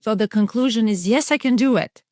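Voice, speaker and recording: A woman's voice: fairly high pitch, fairly quick, a little mellow, no echo, studio quality. A middle-aged woman from Romania, a psychiatrist and coach who explains things earnestly in long, flowing runs as an interview guest. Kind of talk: conversational podcast interview.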